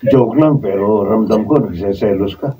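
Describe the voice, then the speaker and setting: A man's voice: fairly low pitch, moderate pace, mellow, breathy edge. A Filipino man acting an evasive, dismissive cheating husband in a radio drama. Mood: defensive. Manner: defensive, dismissive. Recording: muffled distant mic, faint noise.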